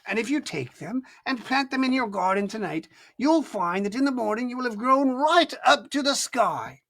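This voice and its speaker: A storyteller voicing a small, sly man who slowly coaxes a boy into a trade. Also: medium pitch, lively intonation, fairly bright tone, pitched above the performer's own voice. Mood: coaxing. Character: sly little man selling magic beans.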